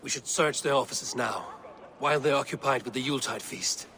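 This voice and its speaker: An actor playing a stern, stoic Viking warrior in a video game, speaking in a grave and measured way. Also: male voice, mid-range voice, bright, forceful tone, close to an everyday voice. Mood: pensive. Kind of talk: dramatic video game acting. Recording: music behind the voice.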